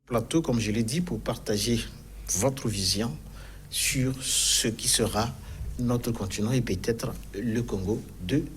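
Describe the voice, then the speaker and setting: A man's voice: mid-range voice, slight background noise. Formal male TV news anchor. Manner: formal, measured questioning. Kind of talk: TV news interview. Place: TV news studio.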